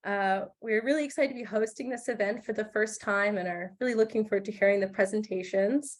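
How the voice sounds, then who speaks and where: A woman's voice: mid-range voice, a little fast. A young woman with a slightly wheezy voice from a recent cough, chairing a graduate committee and reading welcome remarks on a video call.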